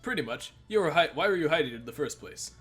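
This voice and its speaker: A man's voice: on the high side, expressive, quick pace, a bit bright, faint music behind. A man hosting a let's play, reading a visual novel's narration aloud with joking banter.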